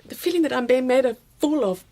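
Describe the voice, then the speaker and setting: An actress playing a hurt, proud middle-aged British wife in a radio drama, accusing and firm, with clipped, emphatic delivery. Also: female voice, high-pitched, expressive, quick pace, dark tone. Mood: hurt, angry.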